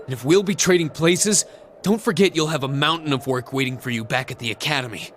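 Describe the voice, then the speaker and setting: An actor playing an earnest, stubborn young student hero and knight pilot in a video game cutscene. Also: male voice, on the high side, brisk, fairly bright tone, slight background noise, lightly acted. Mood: determined. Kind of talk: English dub video game cutscene acting. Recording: roomy echo, faint music behind.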